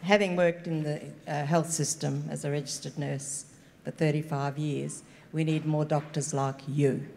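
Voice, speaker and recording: Older aussie woman in the crowd, deep gravelly voice, direct and warm, hall echo. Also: level tone, moderate pace, slight background noise. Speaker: audience member, nurse. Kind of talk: audience question. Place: large hall among an audience. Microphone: roving handheld mic. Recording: long hall reverb, faint crowd.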